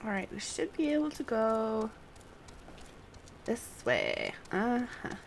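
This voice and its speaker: Over light background noise, a woman chats casually while streaming a game. Her voice sits fairly high and her pace is very relaxed and slow, in the loose style of live play commentary. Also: creaky. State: coughing. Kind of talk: casual livestream gameplay commentary, reading dialogue aloud.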